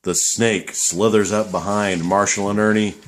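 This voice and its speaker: A man's voice: lowish voice, steady tone, normal speed. A man reading scripted storybook narration for a puppet show.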